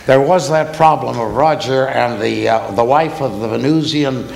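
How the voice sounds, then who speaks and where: Gravelly, earnest space cadet played by a man. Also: mid-range voice, moderate pace, natural voice. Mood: embarrassed. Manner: deferential, sheepish, hesitant. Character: earnest, dutiful, perpetual male space cadet. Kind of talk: comic stage skit in old-time radio style. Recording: noisy live event recording.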